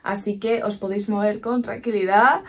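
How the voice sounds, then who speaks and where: A woman's voice: mid-range voice, steady tone, normal speed. A woman in her twenties with a Spanish accent from Spain, chatting casually through a shopping haul in a small bedroom, upbeat and full of asides. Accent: Peninsular Spanish. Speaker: vlogger.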